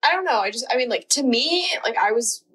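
A woman's voice: on the high side, expressive, brisk, fairly bright tone, breathy edge. A woman in her twenties co-hosting a podcast, chatting casually and giggling, often searching for words. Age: 20s. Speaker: podcast co-host. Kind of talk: casual chat.

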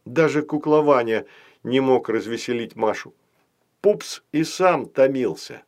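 A man narrates a children's tale from a script. His voice sits at a middle pitch, and he reads in the manner of a storybook audiobook. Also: measured pace, darkish tone.

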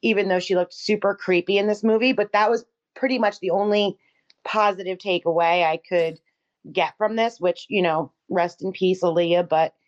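A woman co-hosting a podcast in casual, opinionated chat, blunt and sarcastic and quick to swear. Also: medium pitch, steady tone, muffled recording. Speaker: podcast co-host.

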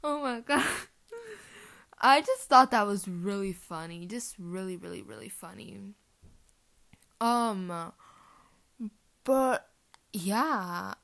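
A woman's voice: mid-range voice, expressive, slow, vocal fry, long pauses, a bit bright. A teenage girl whose first language is Portuguese, hosting her podcast with rambling, giggly casual chat full of fillers. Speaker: podcast host.

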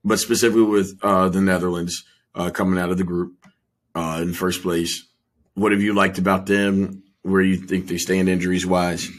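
A man hosting a casual sports discussion, speaking in a measured way with wry teasing. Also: low voice, flat delivery. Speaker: sports podcast host.

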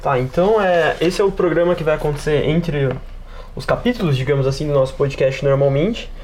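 Brazilian guy hosting a tabletop game podcast, talks fast, kinda high voice, wordy and slangy. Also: darkish tone, slight background noise. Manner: wordy, explanatory, peppers talk with slang and tags. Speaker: podcast host and game master. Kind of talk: casual podcast discussion of tabletop role-playing games.

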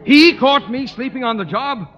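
An actor playing a pompous, blustering high school principal who sputters when flustered, in old radio sitcom style. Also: male voice, animated, normal speed, fairly bright tone. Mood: panicked. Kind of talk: 1940s-50s radio sitcom acting. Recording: muffled, noisy old radio recording, reverberant hall.

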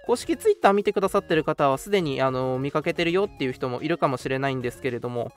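A man's voice: mid-range voice, expressive, fast, faint music behind. A man hosting a radio show, giving announcements in a polite, chatty way. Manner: polite, chatty, frequent interjected particles. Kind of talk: casual scripted-segment radio announcements.

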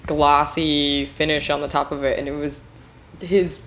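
A woman from Washington state with a low-pitched voice tells stories on a casual podcast. She talks in a chatty way, full of fillers, over a faint noise floor. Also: flat delivery, measured pace, a bit bright. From Washington state, USA. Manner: chatty, filler-heavy storytelling. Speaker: podcast co-host. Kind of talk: casual chat.

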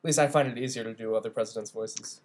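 A man's voice: mid-range voice, fairly even intonation, fast talker, a little mellow. A young man with a stuffy nose and a sore throat, co-hosting a casual podcast by phone with playful, teasing banter. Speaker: podcast co-host. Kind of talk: casual chat.

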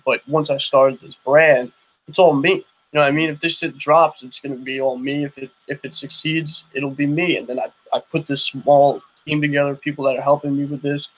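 A man's voice: a little high, flat delivery, fairly quick, poor audio. A young man hosting a podcast interview, talking loosely with many restarts and "you know what I mean". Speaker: podcast host. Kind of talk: casual interview chat.

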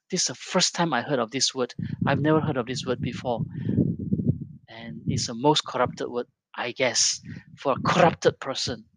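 A man's voice: medium pitch, fairly bright tone, no room sound, rough recording. Earnest man in his fifties. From Malaysia. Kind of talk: personal opinion monologue.

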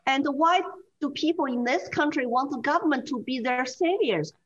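Brief: woman, Chinese accent, earnest interview guest, fairly high voice, muffled lo-fi audio. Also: moderate pace. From China. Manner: earnest, animated, with frequent fillers and restarts. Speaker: guest, former political candidate. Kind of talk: interview over a call.

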